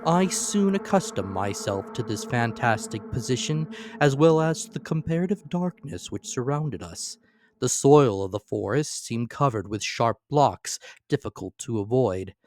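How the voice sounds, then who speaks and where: A man's voice: mid-range voice, animated, unhurried, a little mellow, no room sound. A man narrating a classic story as a dramatic reading, with theatrical, emphatic phrasing. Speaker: audiobook narrator.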